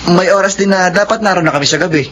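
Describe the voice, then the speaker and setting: A young Filipino working student in a radio drama, with a fairly high voice. He sounds humble, polite and earnest over a clearly noisy recording. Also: very fast, fairly bright tone. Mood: cheerful, reassuring. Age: young adult.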